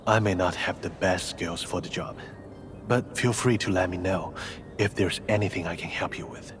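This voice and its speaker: Weary, low-voiced male field surgeon acted by a man. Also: normal speed, background noise, natural voice. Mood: weary, dry. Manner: dry, weary, self-deprecating. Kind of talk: video game voice acting. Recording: clear music behind the voice.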